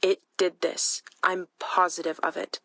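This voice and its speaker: A woman's voice: on the low side, level tone, measured pace, vocal fry, sharp tone, no echo, heavily acted. An actress playing an earnest, uneasy teenage girl eyewitness, slangy and insistent in an interview. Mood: uneasy, convinced. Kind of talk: interview in an audio drama.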